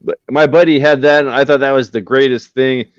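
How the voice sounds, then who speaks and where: A man in his forties with a smooth, resonant voice, chatting easily on a podcast. The sound is dry and a little dull, with no room around it. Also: mid-range voice, steady tone, a little fast, no echo. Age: 40s. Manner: agreeable, with frequent "yeah" backchannels. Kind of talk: casual chat.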